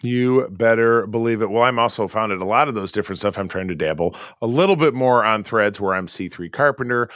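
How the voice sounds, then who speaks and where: A hoarse man co-hosting a podcast, chatting casually and joking through a sign-off, from Michigan. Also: on the low side, fairly quick, hardly any pauses, fairly bright tone, no echo, studio quality. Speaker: podcast co-host. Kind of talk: casual chat.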